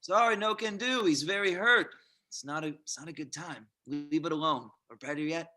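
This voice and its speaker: A man's voice: on the high side, moderate pace, pushed and bright. A man playing a smug, scheming lawyer with a taunting manner in a film script table read. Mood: dismissive, taunting.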